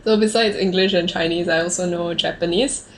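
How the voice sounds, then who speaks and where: Casual Singaporean woman with a lowish voice. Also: fairly even intonation, a little fast, slight background noise, low-quality recording. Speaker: host of a conversational video series. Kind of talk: casual chat.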